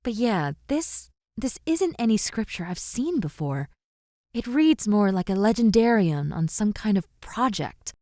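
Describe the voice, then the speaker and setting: A woman playing a caring, cautious and scholarly young woman in an audio roleplay drama, with a gentle, pensive manner. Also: medium pitch, big pitch swings, unhurried, dry recording, studio quality. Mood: curious.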